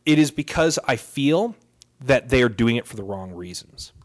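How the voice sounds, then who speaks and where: A man's voice: medium pitch, animated, normal speed. A man co-hosting a podcast in casual chat, making his points emphatically and opinionatedly, often repeating phrases for weight. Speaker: podcast co-host. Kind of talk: casual chat about films and comics.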